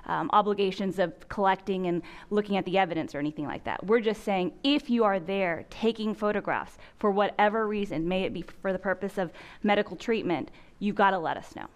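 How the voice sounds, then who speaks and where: A woman's voice: mid-range voice, fairly quick. A woman leading an interactive training session, asking the room questions in a conversational way, in a large conference room on a lapel mic.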